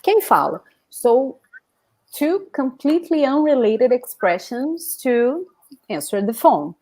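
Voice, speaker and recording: Higher-pitched Brazilian woman teaching. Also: darkish tone, poor audio. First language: Portuguese. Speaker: language teacher. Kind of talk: informal live online lesson.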